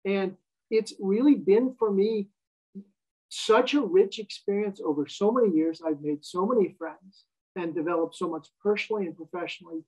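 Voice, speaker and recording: A man's voice: sits high, moderate pace, darkish tone, husky, poor audio. An American man in his fifties, a guest telling his life story in a wry, self-deprecating way. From United States. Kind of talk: casual interview conversation.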